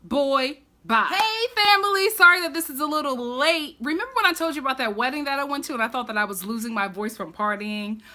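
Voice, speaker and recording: A woman recapping a reality show in a casual, chatty vlog, animated and quick to laugh, still getting over the flu. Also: sits high. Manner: animated, laughs often, sassy asides. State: recovering from flu.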